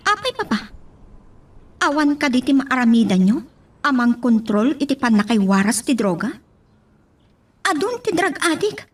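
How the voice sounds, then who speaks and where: Woman acting high-voiced, earnest Ilocano daughter. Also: big pitch swings, normal speed, long pauses. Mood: worried, reproachful. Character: earnest, worried young daughter of a politician. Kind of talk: Ilocano radio drama acting.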